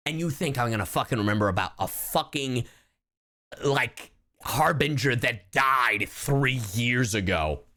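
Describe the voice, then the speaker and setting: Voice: medium pitch, animated, a little slow, bright, forceful tone. A streamer giving casual live commentary in an exasperated, slangy, profanity-heavy way. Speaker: streamer reacting to game voice lines.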